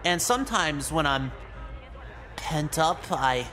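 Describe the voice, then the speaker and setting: A man's voice: on the high side, expressive, slow pace, pushed and bright, faint music behind, a faint crowd, full character voice. An actor voicing an awkward, nerdy teenage boy in a visual novel, hesitant and eager to please. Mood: sheepish, confessing.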